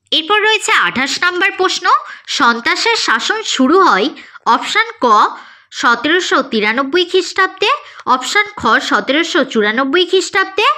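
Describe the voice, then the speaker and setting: High, bright-voiced woman teacher reading quiz items. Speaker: teacher presenting exam questions. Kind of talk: scripted quiz read-out lesson.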